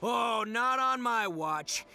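A man playing a brash, boastful young male oni gang boss in an anime-style video game, loud and theatrical. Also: extremely high pitch, lively intonation, slow, full character voice. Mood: fired up.

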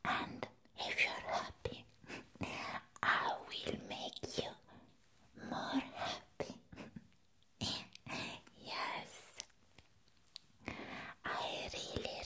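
A woman who is not a native English speaker, voicing a sweet, doting vampire in a breathy whispered ASMR roleplay, repeating tender words softly. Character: sweet, doting female vampire, adoring and clingy.

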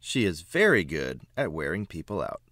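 A man reading a children's picture book aloud with expressive, emphatic phrasing. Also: deep voice, moderate pace, no echo, clean studio sound. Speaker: children's book reader, narrator. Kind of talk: read-aloud storybook narration.

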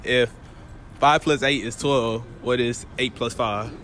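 A man's voice: mid-range voice, steady tone, fairly bright tone, slight background noise. A young man stands outside on a campus, reading trick questions to passing students. He draws out each riddle playfully and teases the people who get it wrong. The sound is open and outdoor, with a phone held out toward the speakers. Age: young adult. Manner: playful, teasing, drawn-out riddle delivery. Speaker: street quiz interviewer. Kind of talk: casual street prank quiz.

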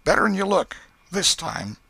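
Storybook role: nosy old rural aunt, played by a man, raised swooping pitch, bright, folksy and probing. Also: big pitch swings, a little slow, husky, no echo, heavily acted. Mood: grudging fondness. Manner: folksy rural dialect, pointed questioning. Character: sly, nosy old American country aunt.

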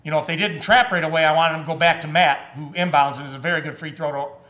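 A man in his fifties, a basketball head coach, answering reporters at a postgame press conference, measured and full of "uh" and restarts. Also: fairly high pitch, steady tone, pushed and bright. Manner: measured, with frequent fillers and restarts. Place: press room in front of a sponsor backdrop. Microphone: table microphone.

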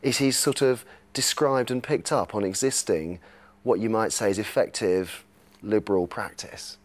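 A man's voice: lowish voice, moderate pace. A man, explaining ideas as a panellist in a discussion.

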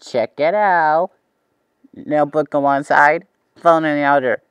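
A man's voice: a little high, measured pace, a bit bright, smooth, no room sound. A man playing a cheerful, eager young host of a preschool TV show, talking to the viewer in an exaggerated, wide-eyed way. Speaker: children's show host character.

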